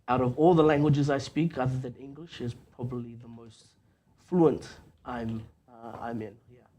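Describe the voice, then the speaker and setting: A young man guesting on a radio chat show, talking softly with many ums and uhs. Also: medium pitch.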